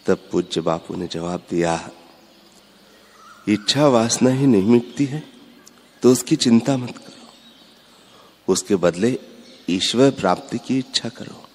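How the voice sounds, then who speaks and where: A man giving a measured, instructive spiritual discourse, repeating his points. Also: lowish voice, animated, darkish tone. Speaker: spiritual speaker. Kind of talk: slow devotional discourse. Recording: long hall reverb.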